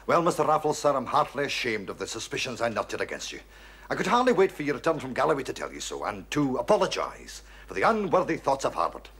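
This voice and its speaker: An actor playing a formal, deferential but shrewd police inspector with a Scottish accent and a husky voice in a period drama. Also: male voice, mid-range voice, big pitch swings, fast, fairly bright tone, heavily acted. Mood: apologetic, formal. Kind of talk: period television drama dialogue.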